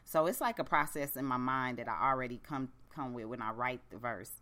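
Deep low-voiced woman host, blunt and profane, laid-back slangy radio talk. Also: a little fast, sharp tone. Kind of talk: casual radio chat.

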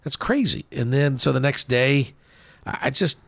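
A man co-hosts a sports show in a mid-pitched voice with a gravelly edge. He talks casually and folksily, wandering into asides. The recording is dry, with very little room sound. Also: animated, moderate pace, a bit bright, no room sound.

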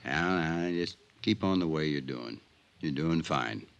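A man acting a laconic, sly small-town sheriff in folksy 1950s radio drama style, with a gravelly voice. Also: a bass voice, expressive, highs cut off, exaggerated voice. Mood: wry, knowing. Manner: folksy, drawn-out laconic replies. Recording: faintly noisy 1950s radio recording.

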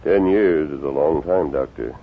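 Very deep-voiced western lawman hero. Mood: calm, firm. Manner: measured, firm, earnest. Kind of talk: 1950s radio western acting. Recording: muffled, hissy 1950s radio recording.

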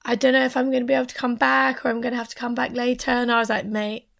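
A hoarse woman with a sore throat, talking over a video call, her voice gravelly with fry and pitched a little high. She chats in a relaxed, casual way and often sinks to a near whisper. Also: racing. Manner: chatty, drops to a near whisper at serious points.

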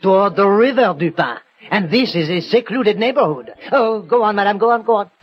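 High-pitched, insistent police prefect, acted by a man. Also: a little fast, close to an everyday voice. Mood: eager, smug. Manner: insistent, emphatic, repeating himself. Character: excitable, suspicious, self-assured French prefect of police. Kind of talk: 1960 radio drama acting. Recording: dull old radio broadcast, faint music.

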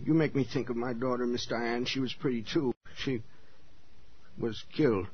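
An actor playing a brooding, simple-spoken middle-aged handyman, halting and creepy, in 1940s radio style. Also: medium pitch, slightly rough, no room sound, natural voice. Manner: halting, plain ungrammatical speech. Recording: dull, noisy 1940s radio recording.

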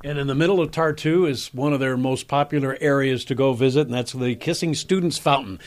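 A man's voice: medium pitch, a little fast. A man hosting an interview, giving informative lead-ins before his questions.

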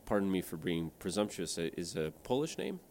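A man's voice: deep voice, very animated, brisk, a little mellow, slight background noise. A man co-hosting a comedic mock interview, tossing in loose, joking remarks, with music under his voice. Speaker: co-host.

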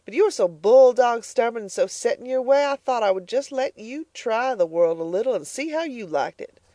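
A woman reading the part of a bitter, stingy farm mother who scolds loudly and sharply. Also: medium pitch, animated, brisk, no echo, lightly acted. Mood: scolding. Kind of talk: audiobook character voice.

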